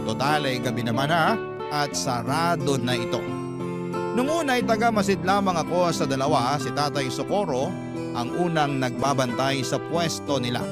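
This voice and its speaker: A man narrating a scripted first-person story as the host of a Filipino radio drama. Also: a little high. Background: loud plucked guitar music.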